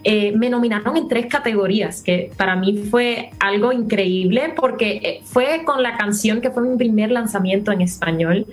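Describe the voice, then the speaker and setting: A woman with a Puerto Rican accent, a singer interviewed over a video call, grateful and lively in telling stories. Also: medium pitch, normal speed, few pauses. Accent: Puerto Rican Spanish. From Puerto Rico. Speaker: singer guest.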